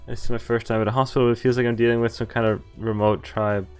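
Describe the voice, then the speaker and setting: A man's voice: medium pitch, flat delivery, fast. A man streaming a visual novel, reading the game text aloud with casual, profane, laughing commentary. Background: faint game music.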